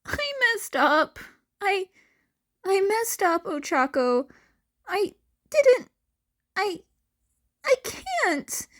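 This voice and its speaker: Teenage boy, guilt-ridden and panicky, very high halting voice, animated delivery, played by a woman. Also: heavily acted. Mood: guilty, halting. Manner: halting, stammering, voice breaking. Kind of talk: acted fan fiction audiobook dialogue.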